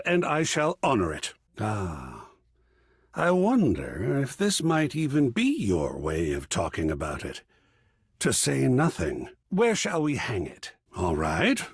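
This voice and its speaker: Philosophical, effusive male art curator for a video game: hugely animated pitch, unhurried, dramatic musing delivery. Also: breathy edge, no echo, clean studio sound, exaggerated voice. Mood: accepting, gentle. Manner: savoring, dramatic, refined phrasing with musing pauses. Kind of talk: theatrical video game character dialogue.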